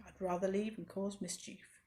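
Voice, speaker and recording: A woman voicing a sulky male butterfly bully for a children's storybook, in a low grumbling way. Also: little pitch movement, moderate pace, mellow, natural voice. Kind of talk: children's storybook read-aloud.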